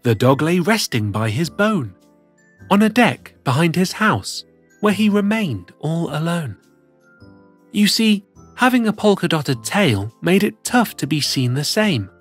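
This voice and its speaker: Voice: medium pitch, big pitch swings, unhurried, slightly rough, soft background music. A performer voicing a cheerful plush cat who reads picture books to children in a theatrical, sing-song way. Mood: storytelling. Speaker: storyteller host. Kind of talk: expressive children's picture-book read-aloud.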